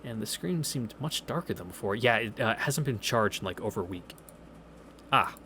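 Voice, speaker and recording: A man's voice: lowish voice, lively intonation, normal speed, bright, forceful tone, a little hiss or hum. A man reading through a visual novel in a casual, laid-back way, with wry asides. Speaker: visual novel let's player. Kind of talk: casual read-through with reactions and anecdotes. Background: video game music.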